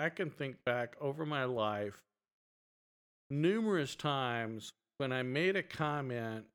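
A middle-aged man with a strong, resonant voice co-hosts a casual podcast. He talks in an animated, rambling way, filling gaps with "you know" and "I mean.". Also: medium pitch, clear, resonant tone. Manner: animated storytelling, lots of fillers. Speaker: podcast co-host. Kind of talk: casual chat.

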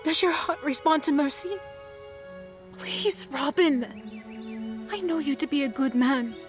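An earnest young noblewoman played by an actress in a medieval audio drama, her voice fairly high and intense. She speaks formally, with reproach and compassion, over faint music. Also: slow. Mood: pleading. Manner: formal, intense, righteous delivery.

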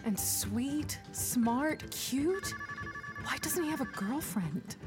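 An actress playing a smitten, airy office worker in a comic audio drama. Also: female voice, sits high, very animated, drawn-out words, over music, exaggerated voice. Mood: dreamy. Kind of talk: audio drama comedy acting.

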